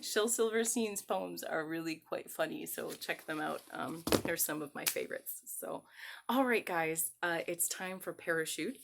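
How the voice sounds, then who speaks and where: A woman hosting a story time for children, talking to them in an animated, encouraging way and leading singalongs. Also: lowish voice, unhurried, vocal fry, slight background noise.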